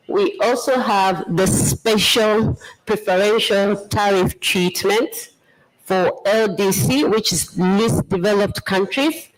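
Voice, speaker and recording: A trade official with a Zambian accent delivers a formal presentation. The delivery is measured and explanatory, at a notably slow pace. The voice sits at a middling pitch. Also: low-quality recording.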